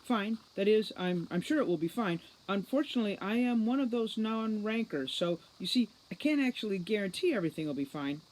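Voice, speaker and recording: A woman voicing a timid, officious little male fairy soldier in a storybook, halting and self-important. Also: medium pitch, animated, darkish tone, voice pushed up, natural voice, low-quality recording. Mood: nervous, apologetic.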